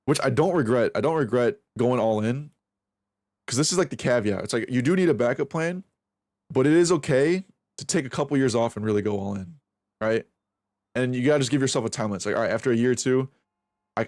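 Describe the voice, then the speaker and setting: Young male streamer, mid pitch, loose and profane rambling, close dry sound. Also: a little mellow, no echo. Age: 20s. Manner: rambling, profane, self-reflective, restarts a lot. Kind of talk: casual stream chat while aim training.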